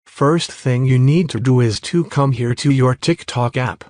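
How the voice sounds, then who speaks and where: A man giving step-by-step software tutorial narration in a plain, instructional manner. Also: mid-range voice, unhurried, no echo, studio quality. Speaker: tutorial presenter.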